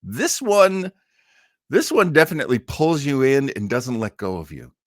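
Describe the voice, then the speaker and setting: A man's voice: mid-range voice, very animated, moderate pace, no room sound, clean studio sound. A man hosting a listening party in a casual livestream style, informal and enthusiastic.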